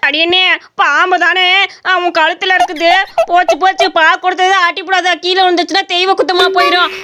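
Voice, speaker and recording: A woman voicing a cheeky, scheming village boy in an animated comedy, with wheedling rural Tamil Nadu speech. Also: very high-pitched, a little fast. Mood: alarmed. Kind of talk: animated comedy story voice acting.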